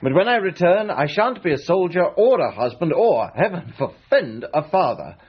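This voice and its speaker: An actor playing a wry, carefree English gentleman gambler in a period radio drama, with a dry, genteel manner. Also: sits high, big pitch swings, normal speed, husky, exaggerated voice. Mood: wry, defiant. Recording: dull lo-fi radio copy with hiss.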